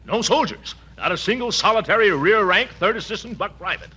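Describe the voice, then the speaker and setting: Blustery, stubborn uncle, animated and stammering, 1940s radio comedy on a dull, noisy recording. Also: male voice, high-pitched, brisk, slightly rough, exaggerated voice. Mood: flustered, indignant. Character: blustering, pompous, stubborn middle-aged uncle hunting dinner guests. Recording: muffled, noisy old-time radio recording.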